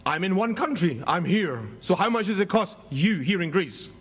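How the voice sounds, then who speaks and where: A Scottish man giving a seminar talk, loud and jokey, putting questions to the audience. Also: high voice, expressive, moderate pace, husky. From Scotland. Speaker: conference speaker. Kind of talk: seminar presentation.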